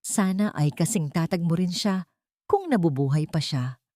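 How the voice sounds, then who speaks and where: A woman's voice: fairly low pitch, lively intonation, normal speed, a little mellow, no echo, studio quality, lightly acted. A woman voicing a frail, kindly old janitress in a storybook drama, weak and plaintive. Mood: tender, sorrowful.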